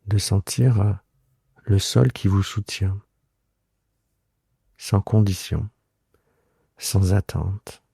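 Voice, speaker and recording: A man guiding a yoga relaxation meditation in a soothing, unhurried way, leaving long pauses between short phrases. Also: deep voice, fairly even intonation, gravelly, dark tone, slightly rough, dry recording. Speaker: yoga nidra guide and host. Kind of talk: guided relaxation meditation, improvised.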